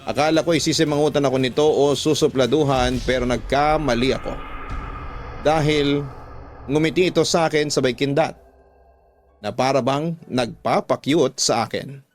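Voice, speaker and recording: A man's voice: mid-range voice, normal speed. Filipino man narrating radio drama over music. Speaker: radio drama narrator reading a listener's story. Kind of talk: scripted first-person dramatic radio narration. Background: background music.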